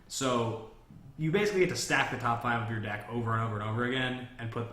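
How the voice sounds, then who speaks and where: Fast-talking man in an echoey room. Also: medium pitch, a bit bright. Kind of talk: casual panel chat. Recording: echoey room, faint background noise.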